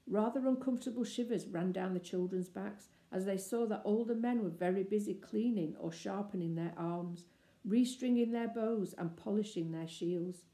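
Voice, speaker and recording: A woman reading a children's story aloud as expressive narration. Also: medium pitch, creaky. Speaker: storybook reader. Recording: roomy, echoey indoor sound.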